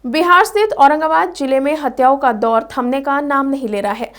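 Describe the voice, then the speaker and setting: A woman's voice: sits high, normal speed, a bit bright. A woman presenting scripted news as the anchor of a bulletin.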